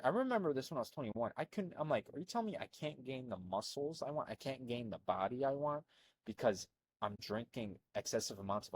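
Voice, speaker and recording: A young man from Albania, talking casually as a health coach while he reads through on-screen text. His voice is husky and sits a little low, and he rambles in an animated way with lots of fillers and restarts. The sound is dry and close, with no room around it. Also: dry recording. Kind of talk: casual commentary reading from a screen.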